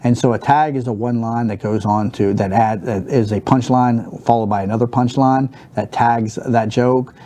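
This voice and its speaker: A man in his thirties in a studio, teaching stand-up comedy basics in a monotone, rambling way with many fillers and restarts. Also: mid-range voice, moderate pace, hardly any pauses, a little hiss or hum. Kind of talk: unscripted talk to camera. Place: studio set with a wooden backdrop, seated at a desk.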